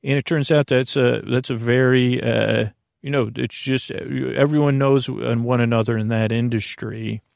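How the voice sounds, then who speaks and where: A man with a creaky voice hosting a bedtime podcast, rambling through a meandering introduction in a halting, digressive way, repeating and smushing words. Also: medium pitch, fairly even intonation, a little mellow, no room sound, clean studio sound. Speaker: podcast host.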